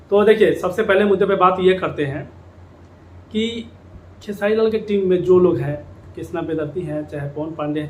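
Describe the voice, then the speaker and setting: A man giving informal opinion commentary to viewers, repeating phrases for emphasis. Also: high voice. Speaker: YouTube commentator on Bhojpuri music industry. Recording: echoey room with background noise.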